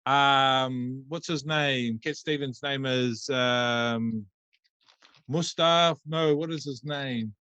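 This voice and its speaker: A man's voice: mid-range voice, fairly even intonation, slow, sharp tone, smooth, dry recording, muffled recording. A man in his thirties hosting a casual podcast, joking crudely and facetiously. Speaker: podcast host.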